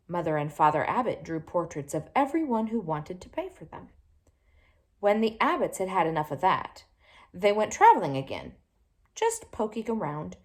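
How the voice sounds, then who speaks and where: A woman reading a children's picture book aloud in an expressive, animated way, with little asides to her listeners. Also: fairly low pitch. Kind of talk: storybook read-aloud to young children.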